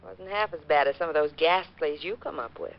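A woman's voice: low voice, brisk. An actress playing a playful, teasing female secretary in a 1950s radio drama. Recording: muffled old radio recording.

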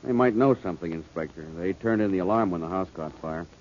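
A man plays a businesslike police detective on a muffled old radio show. His voice is on the low side and plainly matter-of-fact, with noticeable noise in the recording. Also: fast talker, darkish tone, natural voice. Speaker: supporting actor in a detective radio drama.

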